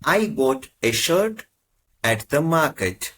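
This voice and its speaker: A man teaching as a language tutor, reading short prompts in slow, measured phrases. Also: fairly high pitch, big pitch swings, a bit bright. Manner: measured, carefully spaced phrases. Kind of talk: slow, deliberate lesson prompts.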